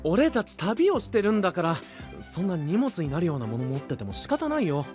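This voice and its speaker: A man voicing a sensible, gentle young traveler, cautious and mildly exasperated, in an animated audio drama. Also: high voice, brisk, over music. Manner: soft-hearted, cautious, questioning. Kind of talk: animated Japanese voice drama acting.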